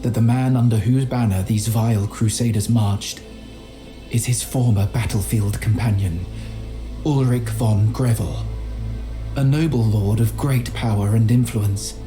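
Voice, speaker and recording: An actor playing a learned, timid chronicler in a video game, speaking in formal, measured, literary phrases. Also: male voice, fairly low pitch, steady tone, music in the background, lightly acted. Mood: solemn, reflective. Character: earnest, learned, timid medieval scholar and chronicler. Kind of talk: dramatic journal narration in a video game.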